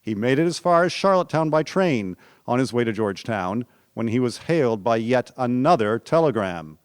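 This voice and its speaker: A man's voice: medium pitch, lively intonation, normal speed, clean tone. A man giving scripted historical narration for a radio drama. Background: faint music.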